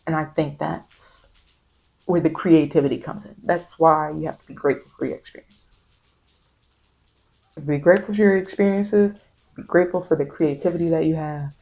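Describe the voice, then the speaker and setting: A woman's voice: sits low, fairly even intonation, moderate pace, long pauses, warm tone, rough recording. A woman giving an informal, encouraging tarot reading in ASMR style, speaking colloquially and repeating phrases for emphasis. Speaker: tarot card reader and host. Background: bird and rain sounds.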